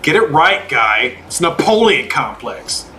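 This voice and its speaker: A man's voice: on the high side, sing-song, a little slow, bright, forceful tone, faint music behind. A man hosting a game livestream, chatting casually and informally as he answers viewers by name.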